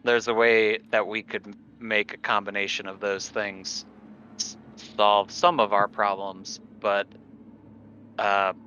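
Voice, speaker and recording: Dull-sounding recording of a low-voiced man. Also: flat delivery, slow pace, bright, forceful tone. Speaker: tabletop game player. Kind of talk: casual game discussion. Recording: dull lo-fi mic, faint noise.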